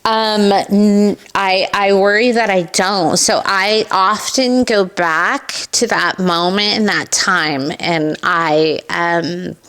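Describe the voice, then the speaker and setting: A woman's voice: mid-range voice, slow, hardly any pauses, clear, resonant tone. A middle-aged woman with a Californian accent and a mid-range voice. She shares personal stories in a conversational, candid way, slipping into quoted remarks as she goes. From Southern California, United States. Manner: candid, animated retelling with acted-out quotes. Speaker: podcast guest, esthetician and business owner. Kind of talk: personal storytelling in a conversational interview.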